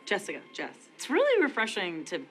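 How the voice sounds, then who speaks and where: A woman acting a quirky, earnest, easily flustered young woman in a sitcom, expressive and awkward. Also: medium pitch, brisk, soft background music. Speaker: actor in a TV sitcom.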